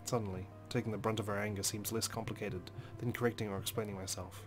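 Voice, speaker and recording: A man reading first-person game narration aloud as a let's play host, expressive, with dramatic, deliberate phrasing. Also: on the low side. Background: music.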